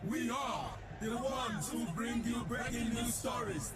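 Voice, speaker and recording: A man shouting the lines of a radio station promo. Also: very high-pitched, a little slow. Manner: shouting, emphatic. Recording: echoey, distant, over loud music. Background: loud music.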